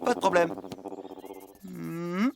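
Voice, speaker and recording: A man's voice: sits high, takes it slowly, creaky, a crowd in the background, full character voice. A man voicing a friendly, forgetful farmhand in a children's cartoon, eager to please and slightly flustered. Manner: animated, good-natured, slightly flustered. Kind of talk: French children's cartoon dubbing. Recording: background music under the voice.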